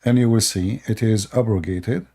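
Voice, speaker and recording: A man whose first language is Arabic hosts a live call-in debate, repeating himself and speaking haltingly while he addresses his viewers. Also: fairly low pitch, gravelly, dark tone, breathy edge. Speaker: show host.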